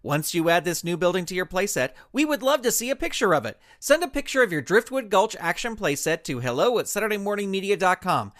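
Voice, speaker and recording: Very animated American credits announcer. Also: medium pitch. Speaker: closing announcer. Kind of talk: scripted upbeat credits read for children's show.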